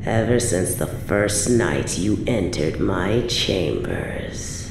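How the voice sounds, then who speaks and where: A woman playing an evil, possessive medieval queen in a roleplay audio drama, haughty and theatrical with drawn-out, breathy asides. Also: extremely low pitch, lively intonation, echoey room, background noise, heavily acted. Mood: obsessive, seductive. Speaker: voice actor in a scripted roleplay audio.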